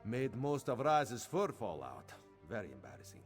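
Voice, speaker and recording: A man's voice: on the low side, big pitch swings, moderate pace. An actor voicing a vain, playful cat-man agent in a video game, speaking theatrically and with self-assurance. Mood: wry storytelling. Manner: theatrical, self-assured, refers to himself by name. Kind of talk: video game character dialogue. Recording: music behind the voice.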